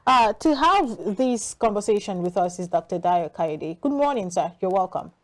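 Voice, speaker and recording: A woman's voice: medium pitch, animated, normal speed. A woman with a Nigerian accent hosting a morning news programme as its presenter and interviewer. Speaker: TV news show host.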